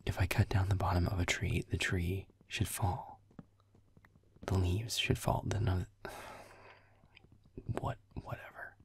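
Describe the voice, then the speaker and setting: Grumpy, sarcastic werewolf boyfriend for gaming roleplay audio male performer: very low, gravelly, breathy, irritated sweary muttering. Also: flat delivery, slow pace, pauses often, whispered. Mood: grumbling.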